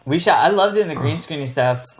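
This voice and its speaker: A man's voice: a little high, animated, quick pace. A man giving casual host commentary in an agreeable, enthusiastic manner.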